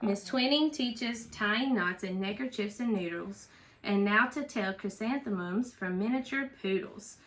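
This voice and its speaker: Bright-voiced woman reading a storybook aloud. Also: mid-range voice, a little slow, poor audio. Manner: expressive, teacherly, checks in with questions. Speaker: parent reading a storybook aloud for a school read-aloud. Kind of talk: animated children's read-aloud with chatty asides to a child.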